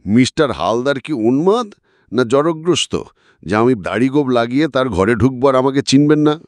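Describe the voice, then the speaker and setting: A man's voice: mid-range voice, expressive, normal speed, no room sound, voice pushed up, natural voice. An indignant male suspect acted by a man reading a story, evasive and defensive. His voice sits raised and jumps around in flustered emphasis, recorded dry and close. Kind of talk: dramatic audiobook character reading.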